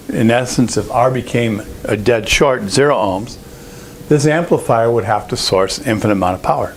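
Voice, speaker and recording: A man presenting an informal technical lesson, explaining step by step in a halting, explanatory way. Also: mid-range voice, a little hiss or hum. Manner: explanatory, halting, with frequent throat clearing. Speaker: presenter of an audio engineering lesson.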